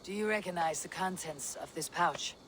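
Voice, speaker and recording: A woman's voice: fairly low pitch, moderate pace, a bit bright, slight background noise, close to an everyday voice. Terse, wary Viking warrior woman, voiced by an actress. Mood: urgent, troubled. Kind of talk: video game voice acting. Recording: music behind the voice. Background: game music.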